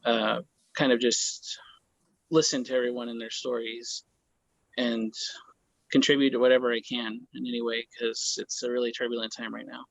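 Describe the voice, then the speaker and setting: A man with an American accent talking casually and haltingly as a panelist, on dull lo-fi call audio. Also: mid-range voice, little pitch movement, vocal fry. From California, United States. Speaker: roundtable panelist, theater performer. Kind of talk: casual roundtable talk.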